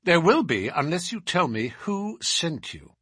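A man's voice: a little high, very animated, measured pace, pushed and bright, husky, no echo, voice pushed up, exaggerated voice. A man doing a cool ironic detective. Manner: cool, dry, mocking.

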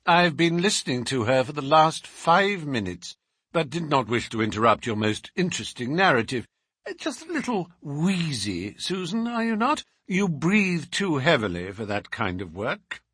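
A man's voice: on the high side, big pitch swings, moderate pace, fairly bright tone, breathy edge, no echo, clean studio sound, full character voice. A man playing a shrewd detective in an audiobook, teasingly polite and commanding, with precise, probing questions. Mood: mocking.

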